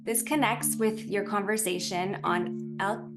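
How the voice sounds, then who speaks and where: Casting: woman podcast host, measured scripted questions, loud music underneath. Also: medium pitch. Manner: reads prepared questions, measured. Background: loud background music.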